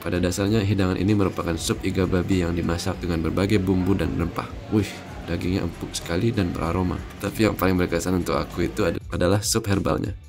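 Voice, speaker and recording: A man's voice: low-pitched, fast talker, vocal fry, hardly any pauses, over music. A man from Indonesia giving a scripted, informative travel voice-over. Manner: steady, informative delivery. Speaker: vlogger narrating.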